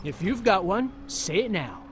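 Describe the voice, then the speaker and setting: A man's voice: high-pitched, big pitch swings, measured pace, slight background noise. A young man playing a cocky, wisecracking ninja warrior in a video game. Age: young adult. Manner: glib, wisecracking. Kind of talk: video game fight banter.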